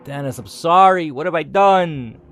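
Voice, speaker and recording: A man's voice: on the high side, normal speed. A man giving a dramatic, theatrical reading of a scripted story, as a gaming host narrating aloud. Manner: theatrical, expressive reading with asides.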